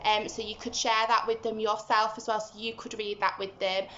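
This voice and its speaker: A woman teacher talking simply and deliberately to young children as she explains and reads a storybook aloud. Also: mid-range voice, a little hiss or hum. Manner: simple, deliberate, instructive, animated when reading. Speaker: early-years school teacher. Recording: roomy, slightly muffled home recording.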